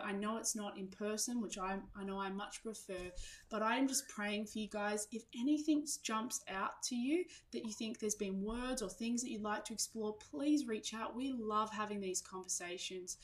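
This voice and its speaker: A woman preacher speaks to her congregation with a mid-pitched voice. Her delivery is earnest and reflective, moving between personal sharing and gentle exhortation in an informal sermon style. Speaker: church preacher.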